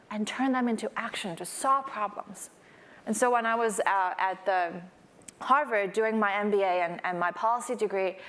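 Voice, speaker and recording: A woman in her thirties from Hong Kong speaks on a conference panel at an ordinary pitch. She tells her story earnestly, building to emphatic high points. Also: fairly bright tone. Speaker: panelist, startup founder.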